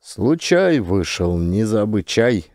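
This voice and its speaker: A man narrating a literary story as an expressive audiobook storyteller. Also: on the low side, slow pace, no echo, clean studio sound.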